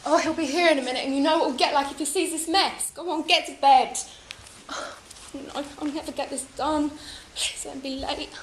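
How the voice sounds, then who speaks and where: A downtrodden wife who sounds flustered and timid, quick to apologize, played by a woman. Her voice is high and sits in an echoey, roomy space. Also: slight background noise, lightly acted. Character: anxious, downtrodden wife and mother. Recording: roomy echo.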